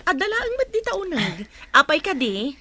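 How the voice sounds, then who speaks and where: An actress playing a friendly, lively village godmother in a radio drama. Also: very high voice, moderate pace, no echo, heavily acted. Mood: teasing concern. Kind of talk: Ilocano radio drama acting.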